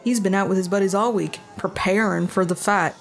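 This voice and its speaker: A woman's voice: mid-range voice, steady tone, a little fast, faint music behind, lightly acted. A woman voicing an easygoing country pony mare with a folksy, teasing manner in a fan audio drama.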